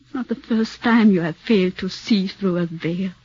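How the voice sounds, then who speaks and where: A woman's voice: mid-range voice, moderate pace, mellow, breathy edge, natural voice. Woman playing a cold, cunning foreign actress. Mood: cool, mocking. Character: cunning, cold, devoted female former actress. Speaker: witness in murder trial. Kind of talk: 1940s radio acting. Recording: dull lo-fi 1940s radio broadcast.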